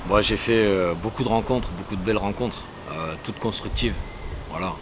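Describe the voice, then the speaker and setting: A middle-aged man, a rapper, chatting casually in an interview with relaxed slang and many fillers, outdoors in a city. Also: lowish voice, moderate pace. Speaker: rapper guest. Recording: outdoor street noise, traffic.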